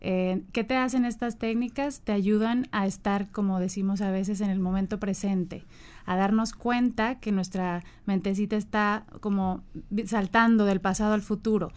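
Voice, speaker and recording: Female meditation teacher as radio guest, Mexican accent, smooth clear tone, informal explanatory delivery. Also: mid-range voice, fairly even intonation, moderate pace, darkish tone. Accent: Mexican Spanish. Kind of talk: explanatory conversational interview.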